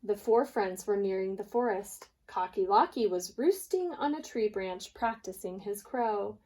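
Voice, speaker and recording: A woman preschool teacher reading aloud and leading a lesson, deliberate and expressive for small children. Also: mid-range voice.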